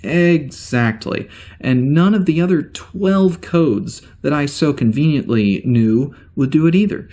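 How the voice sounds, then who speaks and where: A man's voice: fairly high pitch, lively intonation, dark tone. A man playing an uneasy amnesiac spaceship security officer, rambling hesitantly through a spoken log in a science fiction audio drama. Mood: bewildered, unsettled. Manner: halting, hesitant, rambling, wry.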